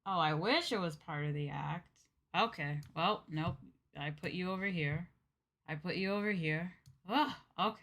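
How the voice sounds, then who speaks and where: A woman's voice: on the low side, normal speed, a bit bright. A woman hosting a casual livestream, chatting to viewers in a flustered, scattered, apologetic manner. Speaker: VTuber livestream host.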